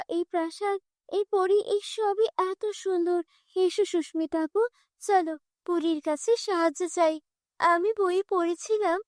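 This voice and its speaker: A woman's voice: very high-pitched, darkish tone, dry recording, clean studio sound. A woman voicing an eager little orphan girl with a pleading, coaxing manner in a cartoon story. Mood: awed, coaxing.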